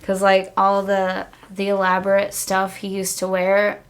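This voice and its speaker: A woman's voice: medium pitch, monotone, unhurried. A woman podcast host chatting casually and enthusiastically, like a keen fan, with plenty of "like" in her phrasing. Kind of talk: casual chat.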